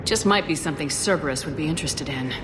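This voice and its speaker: A woman playing a steady, commanding space soldier in a video game, firm, direct and controlled. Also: low-pitched, quick pace, heavy background noise, natural voice. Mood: brisk, skeptical. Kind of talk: dramatic video game voice acting.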